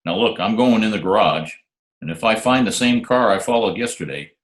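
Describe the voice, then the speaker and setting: A man playing a smooth, wisecracking hardboiled private detective in an old-time radio drama. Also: lowish voice, animated, brisk, lightly acted. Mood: dry, wry narration. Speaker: lead actor and narrator. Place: home, remote video call recording. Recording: roomy, echoey remote home recording.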